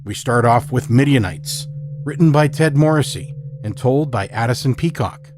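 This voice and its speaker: A man hosting a horror fiction podcast, reading a scripted introduction in a measured, deliberate way. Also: mid-range voice, darkish tone. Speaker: podcast host.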